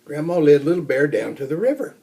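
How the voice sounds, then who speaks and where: An older man reading a children's story aloud in an expressive storytelling manner. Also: a little high, a little fast, creaky. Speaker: storybook reader.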